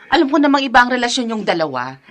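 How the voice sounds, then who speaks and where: An actress playing a chatty, teasing married friend who blurts things out in a Tagalog radio drama. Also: female voice, a little high, very animated, very fast, fairly bright tone.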